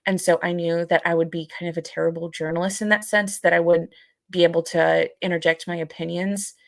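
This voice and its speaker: Young woman podcast co-host, slightly low voice in a dry recording; thoughtful, conversational, circling back over her phrases. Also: fairly even intonation, creaky, no echo. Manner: halting, repeats phrases while thinking. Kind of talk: reflective casual conversation.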